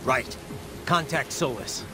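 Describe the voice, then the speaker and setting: An actor playing a gruff, determined cyborg soldier with an American accent and a dryly sardonic manner in a video game. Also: male voice, mid-range voice, slow pace, lightly acted. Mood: grim, urgent. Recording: loud music over the voice.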